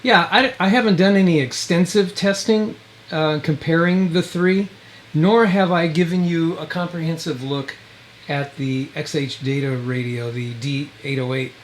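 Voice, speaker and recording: A man's voice: on the high side, fairly even intonation, measured pace. A man casually demonstrating gear outdoors, thinking aloud in a folksy, rambling way, with some wind around him. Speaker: radio reviewer and video host. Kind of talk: casual hands-on gadget demo. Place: outdoors, windy.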